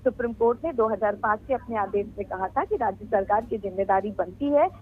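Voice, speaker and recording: A woman giving a live, unscripted news report in a halting, explanatory way. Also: medium pitch, slightly rough. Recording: tinny phone-line sound.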